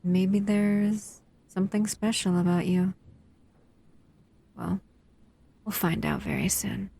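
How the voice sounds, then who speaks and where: A woman voicing a bored, predatory female humanoid monster who talks in a slow, teasing, condescending way in an audio roleplay. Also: medium pitch, flat delivery, vocal fry, dark tone, slightly rough, exaggerated voice. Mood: explaining.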